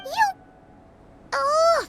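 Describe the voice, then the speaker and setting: Squeaky, excitable tiny fairy sidekick. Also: female voice, extremely slow, full character voice. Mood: excited. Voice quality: squeaky, childlike. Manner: exclamatory, childlike, eager. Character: tiny excitable childlike fairy companion, female, curious. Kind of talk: animated video game voice acting. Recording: music playing behind the voice.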